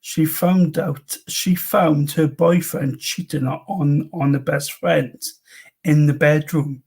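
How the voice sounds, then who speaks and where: A man talks in an unhurried drawl, his voice rough and gravelly. He chats casually with a friend, emphatic and swearing freely. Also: on the high side, fairly even intonation, darkish tone. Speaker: guest. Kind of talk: casual chat.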